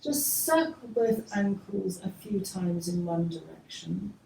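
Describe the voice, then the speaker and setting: A woman teaching a guided yoga relaxation class, speaking gently and letting her phrases trail off softly. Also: medium pitch, creaky. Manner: gentle, unhurried instructing with soft trailing phrases. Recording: distant mic, echoey room, faint noise.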